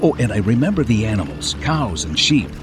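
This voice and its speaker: A man acting in an audio drama as a gruff but folksy farm owner who tells things like a storyteller. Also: fairly low pitch, very animated, a little fast, noisy background. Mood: hesitant, uneasy.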